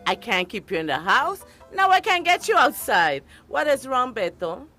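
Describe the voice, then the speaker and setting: A fussing, loving mother in a radio drama. Her high voice swings expressively, with faint music behind. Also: sing-song, moderate pace, pushed and bright. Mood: worried, loving. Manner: fussing, emotional.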